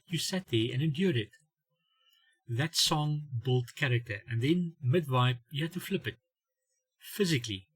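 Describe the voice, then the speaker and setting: Middle aged dad type guy doing a funny story, bit husky, self-mocking, dramatic pauses. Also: mid-range voice, no echo. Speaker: host of a family humour channel. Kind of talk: comedic storytelling monologue.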